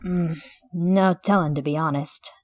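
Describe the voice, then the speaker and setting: Lady doing a chatty motherly dwarf baker, low voice, a bit off-mic. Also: fairly even intonation, measured pace, a little mellow, dry recording, clean studio sound, close to an everyday voice. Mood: fussy. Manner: chatty, apologetic, calls others dearie. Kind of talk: fan audio drama roleplay.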